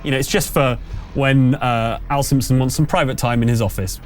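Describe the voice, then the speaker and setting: A man's voice: medium pitch, fairly even intonation, normal speed. Man game master over background music. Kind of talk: improvised tabletop game narration and casual rules chat.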